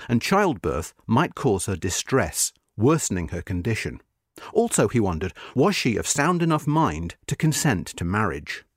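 Male narrator for magazine history feature: formal, measured, varied melodic intonation, dry close studio sound. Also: medium pitch, no room sound. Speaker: narrator reading a magazine article. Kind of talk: scripted narration of a history article.

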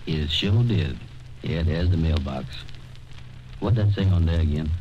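An actor playing a laconic rustic companion in a radio sketch. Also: male voice, extremely low pitch, measured pace, lo-fi sound, slight background noise. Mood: agreeable, laconic.

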